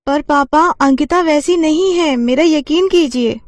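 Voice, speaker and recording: A woman's voice: high voice, measured pace, darkish tone, dry recording, studio quality, pitched above the performer's own voice. A woman voicing a poor, proud young student who speaks earnestly in a cartoon story. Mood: pleading. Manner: earnest, pleading.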